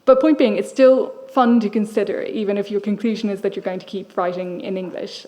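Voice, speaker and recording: A young woman from Ireland delivers a public lecture, her tone thoughtful and dryly wry. She reasons aloud in a reflective, measured way, with a voice of middling pitch for a woman. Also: fairly even intonation, mellow.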